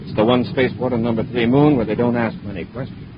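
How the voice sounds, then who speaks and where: An actor playing an assured, authoritative space patrol commander in a 1950s radio adventure. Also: male voice, medium pitch, fairly even intonation, close to an everyday voice. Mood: businesslike. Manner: brisk, assured. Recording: dull, noisy 1950s radio recording.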